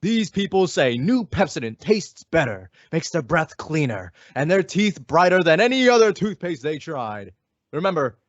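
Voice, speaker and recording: A man's voice: fairly high pitch, no echo. A man as a 1940s-style radio announcer, reading scripted copy with emphatic, polished sales delivery. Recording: dull lo-fi audio.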